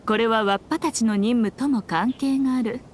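A woman's voice: fairly high pitch, fairly quick, slight background noise, lightly acted. A woman playing an elegant, teasing ancient fox shrine maiden in a Japanese anime game, with archaic, haughty, self-assured speech. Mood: playful, commanding.

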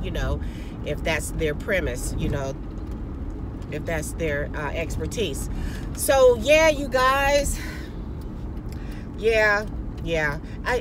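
A woman in her forties rambles to her viewers from the driver's seat, loose and conversational, calling them "girl." Her voice sits in an ordinary middle pitch. Faint car and street noise hums under the phone recording. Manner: chatty, loose and conversational, often calls listeners "girl". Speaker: vlogger. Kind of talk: casual chat. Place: parked car. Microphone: phone camera at arm's length.

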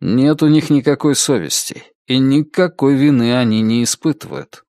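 A man's voice: medium pitch, expressive, moderate pace, warm tone, no echo, studio quality, close to an everyday voice. Narrator as a cynical, pessimistic veteran man. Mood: cynical, musing. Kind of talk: audiobook inner monologue.

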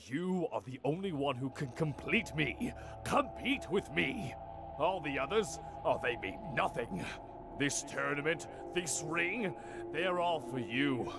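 A man's voice: a little high, creaky, noisy background, heavily acted. A man voicing a vain, suave bio-android villain in a cartoon parody dub, grandiose and flirtatious.

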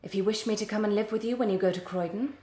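An actress playing a cool, resigned, estranged Victorian wife in a radio drama, restrained and formal, with an English accent. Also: female voice, medium pitch, very fast, mellow, reverberant, lightly acted. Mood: cold, resigned. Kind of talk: BBC radio drama acting.

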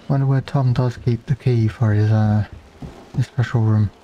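A man's voice: medium pitch, steady tone, normal speed, warm tone, muffled recording, slight background noise. A man playing a game and musing aloud in a wry, casual way. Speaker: let's play gamer.

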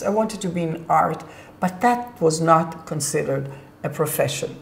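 A woman in her sixties with an Israeli accent, calmly recounting her own life journey in an earnest, measured way. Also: deep voice, vocal fry, a little hiss or hum. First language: Hebrew. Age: 60s. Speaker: presenter telling her life story. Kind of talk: reflective personal narration. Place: indoor studio set with curtain backdrop. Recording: roomy echo with faint background music.

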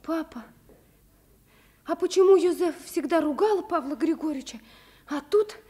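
A woman's voice: very high-pitched, moderate pace, dark tone. An actress playing an earnest, curious nine-year-old girl in a Soviet radio play, with a childlike manner.

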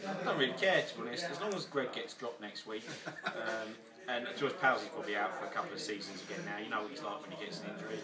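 A man's voice: lowish voice, quick pace, recorded from a distance, over a noisy crowd. A young English man, a football player, complaining bluntly about teammates in a dry, sweary way. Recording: roomy, from off mic, voices around.

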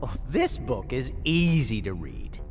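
A woman playing a sassy, mean young male wolf henchman in an animated film, mocking and theatrical. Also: mid-range voice, measured pace. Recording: film clip under loud music.

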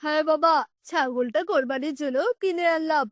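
A woman voicing a humble, devout, poor old village uncle in a children's cartoon, gentle and plain-spoken. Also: high voice, normal speed, no room sound, clean studio sound, voice pushed down, close to an everyday voice. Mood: humble, earnest.

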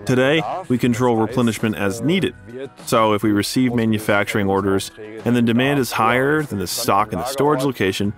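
A man with a fairly low voice delivers a scripted corporate voice-over. His delivery is measured and formal. Music plays clearly underneath the narration. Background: background music.

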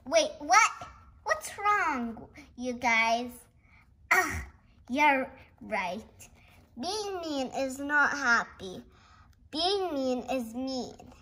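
A young girl hosting a read-along, reading a picture book aloud and reacting chattily in a giggly, excitable way. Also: high-pitched, drawn-out words, slight background noise. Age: child. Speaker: host of a read-along video. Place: indoors at a dark wooden table. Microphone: camera pointed down at the book.

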